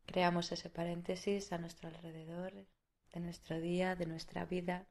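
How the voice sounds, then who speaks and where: A woman with an accent from Spain leading a guided meditation in a soothing, unhurried way with long pauses. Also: fairly low pitch, little pitch movement.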